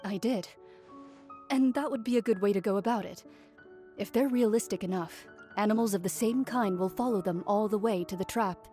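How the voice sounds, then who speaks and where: An actress playing a composed, knowledgeable woman hunter in a game, explaining in a formal, measured way. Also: mid-range voice, dark tone, lightly acted. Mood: composed, explanatory. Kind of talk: game voice acting. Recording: music playing behind the voice.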